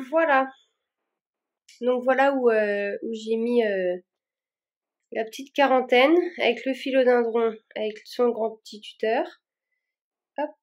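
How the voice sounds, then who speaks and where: Chatty woman repotting plants, many fillers. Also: a little high. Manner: rambling, many fillers and restarts. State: a bit warm and tired from the work. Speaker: plant vlogger. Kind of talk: casual chat while repotting plants.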